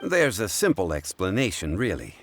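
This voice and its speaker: A man voicing a calm, learned, courteous gentleman in a video game, speaking in a measured, formal way. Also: deep voice, faint music behind, exaggerated voice. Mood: calm, reasoned. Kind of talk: English game voice acting.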